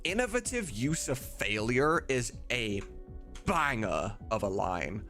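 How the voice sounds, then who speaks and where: Animated man thinking aloud, music behind. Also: mid-range voice, slow pace. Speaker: game mod voice director and streamer. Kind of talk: casual livestream commentary, thinking aloud. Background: music in the background.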